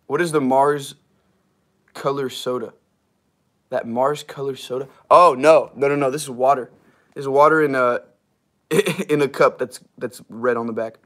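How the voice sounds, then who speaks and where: A young man in his twenties talks on a relaxed livestream, his voice slightly hoarse and strained. He rambles loosely, full of fillers and quick laughs, as he answers viewer comments. He sounds close to a device camera held at arm's length in a small wood-paneled garage room. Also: medium pitch. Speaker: livestream host. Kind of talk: casual livestream chat reading comments.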